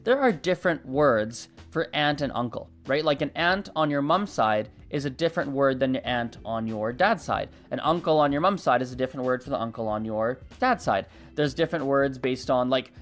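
A young adult host whose first language is Gujarati, thinking aloud in a casual monologue and often hedging and correcting themself. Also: mid-range voice. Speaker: channel host.

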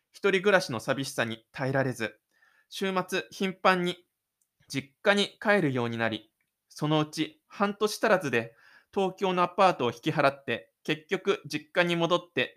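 A man's voice: on the high side, a bit bright. A man reading scripted third-person narration for a self-made audio drama.

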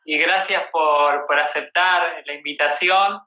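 A man's voice: fairly high pitch, fairly even intonation, measured pace, sharp tone, slight background noise. An Argentine man hosting a casual livestream interview. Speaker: host interviewing a guest. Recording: echoey room, dull livestream audio.

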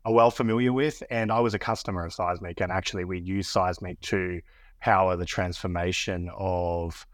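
A man's voice: sits low, steady tone, normal speed, no room sound, studio quality. An Australian man speaking as a podcast guest in casual interview talk, often repeating words as he finds his phrasing. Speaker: podcast guest, business executive. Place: Austin, Texas (based).

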